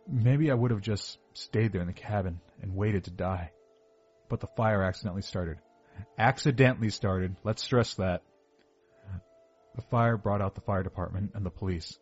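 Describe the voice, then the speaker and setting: Husky low-voiced man acting a self-justifying young killer. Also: a little mellow. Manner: confessional, defensive, self-justifying. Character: heavyset obsessive young male killer confessing to detectives, self-justifying. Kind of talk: first-person horror audio drama monologue. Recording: dull lo-fi sound with music behind.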